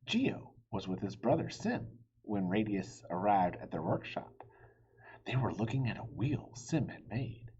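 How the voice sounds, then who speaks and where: A man narrates a children's storybook at an unhurried pace with very animated pitch. His voice is a little husky, and the recording sounds slightly dull. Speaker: storybook reader. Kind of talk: expressive read-aloud narration for children.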